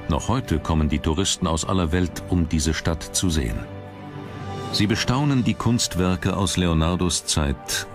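A man reading scripted documentary narration with measured, dramatic phrasing. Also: deep voice.

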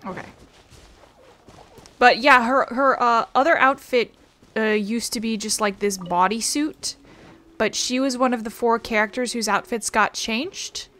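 A woman's voice: on the high side, measured pace, pushed and bright. A woman doing casual let's-play commentary, rambling and self-deprecating, on a close microphone. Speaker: video game streamer.

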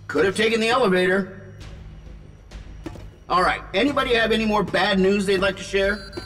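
An actor playing a wry, commanding armored superhero in a video game, dryly sardonic. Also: male voice, sits high, moderate pace, big-room sound, music in the background, close to an everyday voice. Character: wry armored male superhero leader, adult, quick-witted. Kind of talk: video game cutscene acting.

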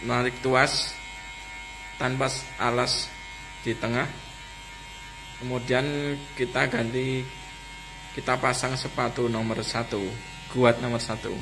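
A man's voice: medium pitch, flat delivery, slow, long pauses, noisy background. A young man, casually narrating a barbershop tutorial and chatting with viewers. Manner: chatty, addresses viewers as friends. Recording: music playing behind the voice.

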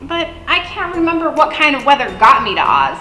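Bubbly Dorothy played by a young woman, high lively voice, echoey room with faint music. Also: brisk, exaggerated voice. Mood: eager. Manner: wide-eyed, exclaiming. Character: cheerful girl Dorothy from Oz, homesick. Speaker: librarian in costume. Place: indoor library video set, green-screen backdrop.

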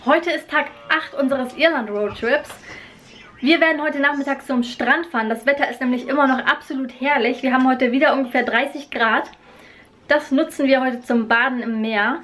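Bright high-voiced woman vlogging cheerfully. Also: moderate pace. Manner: cheerful, enthusiastic. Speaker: travel vlogger. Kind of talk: casual travel vlog. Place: indoors in a bedroom, then outdoors on a beach. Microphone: handheld camera at arm's length.

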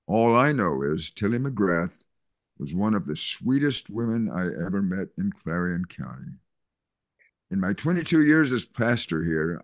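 An older man reading a kindly small-town male pastor in an online play reading, soothing and measured, over a video call. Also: on the low side, dry recording, studio quality. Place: home room on a video call. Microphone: video call computer mic.

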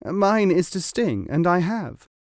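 A man's voice: high-pitched, very animated, slow pace, no room sound, clean studio sound, pitched above the performer's own voice, full character voice. A man voicing a cool-headed fable scorpion. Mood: reasoning. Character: cool, reasoning scorpion, unrepentant.

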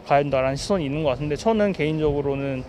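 A young man answering a street interview on a city sidewalk, speaking in a measured, thoughtful way into a handheld mic. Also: a little high, darkish tone, slight background noise. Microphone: handheld mic held by interviewer.

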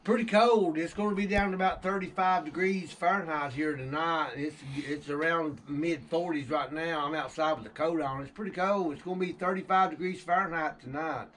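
Higher-pitched man, outdoors. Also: fairly even intonation, a little fast, few pauses, a bit bright, slight background noise. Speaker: amateur radio operator. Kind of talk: casual ham radio conversation. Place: outdoors on a covered cabin porch. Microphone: camera a few feet away.

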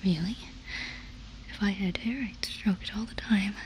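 A woman playing a casual, eager, playful female alien in an ASMR roleplay, speaking in an informal, breathy whisper. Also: mid-range voice, measured pace, a little hiss or hum, natural voice, low-quality recording. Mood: playful, wondering. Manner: informal, reassuring.

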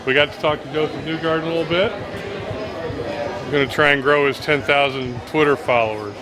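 A man's voice: fairly high pitch, moderate pace. A middle-aged man, a sports reporter, chatting and teasing during a live-streamed walking interview in a busy indoor garage. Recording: crowd chatter behind.

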